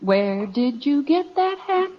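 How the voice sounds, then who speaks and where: An actress playing a patient wife and mother in an old-time radio comedy, gently reproving. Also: high-pitched, big pitch swings, slow pace, exaggerated voice. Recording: dull old radio recording.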